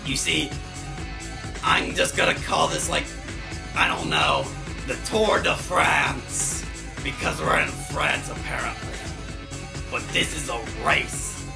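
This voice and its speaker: A man's voice: a little high, very animated, slow pace, gravelly, pauses often, full character voice. A man voicing a blustering, middle-aged mad scientist villain in a comedic fan dub, rambling and making things up as he goes. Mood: rambling pompous. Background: game music.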